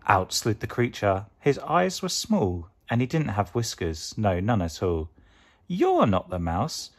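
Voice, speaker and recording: A man reading a rhyming children's storybook aloud in an expressive narrating style. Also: fairly low pitch, a little slow.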